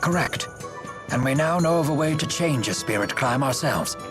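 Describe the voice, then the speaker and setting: An actor playing a courteous, wise older male steward and strategist in a game, speaking formally and with measured care. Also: medium pitch, lively intonation, close to an everyday voice. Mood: grave, composed. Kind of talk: English game dub acting. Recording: game soundtrack music behind the voice. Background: background music.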